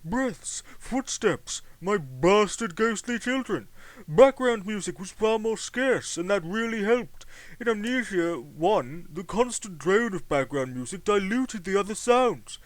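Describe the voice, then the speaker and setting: A man playing a smug Victorian gentleman. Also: sits high, animated, measured pace, dry recording. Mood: boastful. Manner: self-satisfied, boastful, theatrical. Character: smug, boastful Victorian gentleman game-maker.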